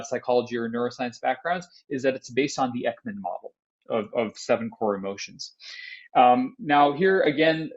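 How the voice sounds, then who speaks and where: Male panelist, mid pitch, halting unscripted delivery, slightly muffled lo-fi audio. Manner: restarts and repeats words often. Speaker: panel speaker. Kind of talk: conference panel remarks.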